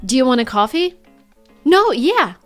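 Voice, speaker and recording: A woman's voice: high voice, moderate pace, faint music behind. Upbeat woman with a Russian accent. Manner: lively, demonstrating phrases with exaggerated intonation. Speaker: English teacher. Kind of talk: online English lesson.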